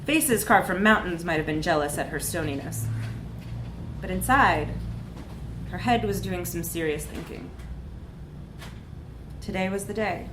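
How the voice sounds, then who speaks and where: A woman's voice: fairly low pitch, a little slow, pauses often. Woman reading a story aloud, echoey room. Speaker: reader of a short story. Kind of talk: live literary reading of a comic story. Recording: echoey room with background noise.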